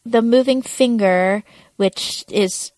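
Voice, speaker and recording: A woman's voice: a little high, slow, a little mellow, dry recording. A woman talking casually as a podcast co-host, chatting through a television episode. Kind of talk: casual chat about a TV episode.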